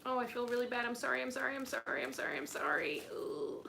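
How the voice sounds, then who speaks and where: A young woman with a slightly high voice chats on a home live stream. She rambles in a bubbly, giggly way, filling her talk with "like". A faint background hiss sits under her voice. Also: big pitch swings, quick pace, gravelly, sharp tone. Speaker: plant channel host. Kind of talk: casual live stream chat.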